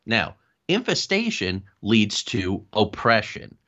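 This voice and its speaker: A man's voice: lowish voice, sing-song, slow pace, pushed and bright. A man explaining things conversationally as an interview guest and paranormal investigator, recorded in lo-fi sound.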